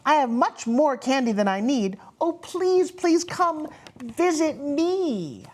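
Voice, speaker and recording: A woman puts on an eager storybook child calling for magic. The voice is high and swooping, chanting in a pleading sing-song rhyme. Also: sing-song, takes it slowly, darkish tone, exaggerated voice.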